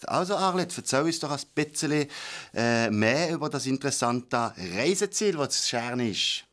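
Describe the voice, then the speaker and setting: Swiss German radio host, mid-range voice, chatty and curious. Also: male voice, medium pitch, lively intonation, normal speed, fairly bright tone. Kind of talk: casual radio chat.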